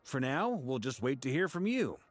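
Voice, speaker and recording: A man's voice: medium pitch, very animated, moderate pace, faint music behind. A man playing a confident, easygoing, amused leader in a video game. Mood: businesslike.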